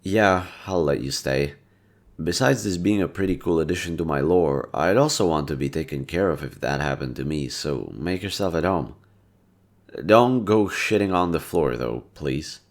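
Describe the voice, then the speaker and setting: A young man with a gravelly, fry-heavy voice, relaxed and gently teasing. He speaks softly to a listener, as in an intimate audio roleplay. His pitch sits very low throughout. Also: moderate pace. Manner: laid-back, teasing, soft-spoken. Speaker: voice actor.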